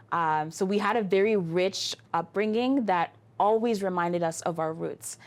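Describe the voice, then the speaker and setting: A woman from Canada, a guest in an interview, telling her story in an articulate, flowing way. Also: lowish voice. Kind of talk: interview conversation.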